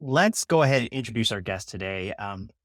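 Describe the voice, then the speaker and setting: A man's voice: on the low side, animated, fairly quick, a bit bright, no echo, clean studio sound. A man co-hosting a podcast, asking interview questions in a hesitant way with many fillers. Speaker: podcast co-host.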